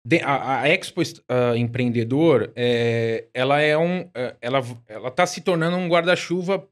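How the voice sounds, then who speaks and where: Halting, hesitant guest speaker. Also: male voice, medium pitch. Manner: halting, many fillers. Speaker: guest, event founder. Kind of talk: casual interview chat. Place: podcast studio. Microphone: studio mic on boom arm, headphones.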